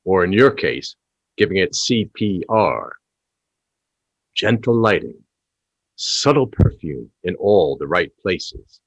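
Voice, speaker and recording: A wry radio agony host acted by a man in a comedy play. His voice is low and breathy, swooping theatrically between disbelief and cheek. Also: no echo, heavily acted, poor audio. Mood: smooth advising. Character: cheeky, incredulous British radio phone-in host.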